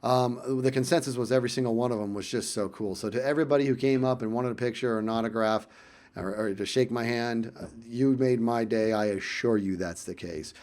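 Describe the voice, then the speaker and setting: A man casually telling a personal story in vlog style, animated and emphatic, often repeating phrases for effect. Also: medium pitch, brisk.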